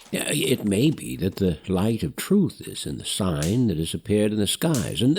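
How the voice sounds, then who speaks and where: Frail, husky old father, deep and gravelly. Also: darkish tone, full character voice. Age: older. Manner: halting, solemn, archaic phrasing. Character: frail, wise old father, gently encouraging. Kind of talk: audio drama acting.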